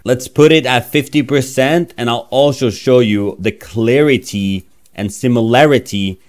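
A man in his thirties from Norway, speaking with a Norwegian accent in a casual, playful software demo. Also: mid-range voice, measured pace. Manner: chatty, playful, self-mocking. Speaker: tech reviewer. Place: small room, indoors.

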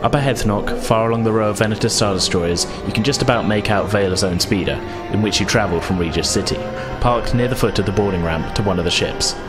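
A man's voice: lowish voice, fast talker, hardly any pauses. A man narrating a tabletop role-playing game as game master. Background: background music.

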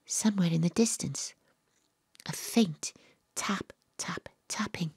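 A woman playing a gruff, dogged private detective who tells her own case in a dramatic, atmospheric audio drama delivery. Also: lowish voice, slow, no room sound, whispered, clean studio sound, heavily acted. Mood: tense, hushed. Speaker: lead actress and narrator. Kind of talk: first-person audio drama narration.

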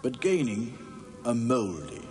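A man's voice: mid-range voice, very animated, takes it slowly, a little mellow, a little hiss or hum. A man voicing a pompous old king who makes solemn declarations. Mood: declaring.

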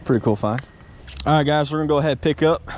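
A man's voice: on the high side, fast talker, a little mellow, slight background noise. A man hosting a casual magnet fishing vlog, chatting outdoors by the water. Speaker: magnet fishing video host.

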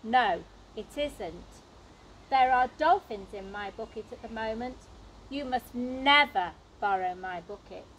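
A woman voicing an eager, imaginative, stubborn little boy in a storybook, with emphatic, drawn-out words. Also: fairly high pitch, expressive, a bit bright, slight background noise, poor audio. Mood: indignant.

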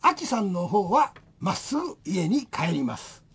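A woman's voice: mid-range voice, very animated, moderate pace, breathy edge, full character voice. A woman narrating a comic family ghost tale as the storyteller of a radio drama. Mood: storytelling. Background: music.

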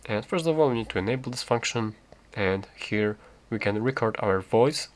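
A man hosting a step-by-step tech tutorial, explaining in a halting, repetitive way. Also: lowish voice, lively intonation. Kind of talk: step-by-step spoken walkthrough.

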